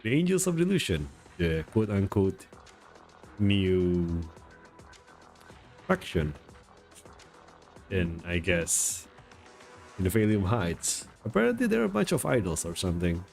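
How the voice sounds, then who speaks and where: A man's voice: deep voice, wildly swooping pitch, a little slow, vocal fry, pauses often, a little mellow. A man livestreaming a game in casual chat, rambling and self-deprecating as he searches for words, with game music behind him. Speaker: game streamer. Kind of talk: casual live stream chat.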